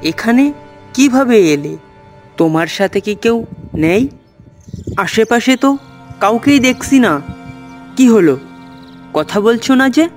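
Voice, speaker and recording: Man playing a high-voiced, kindly young villager. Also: lively intonation, slow pace, long pauses, faint music behind, full character voice. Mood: puzzled, questioning. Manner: questioning, concerned. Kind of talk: cartoon ghost story acting.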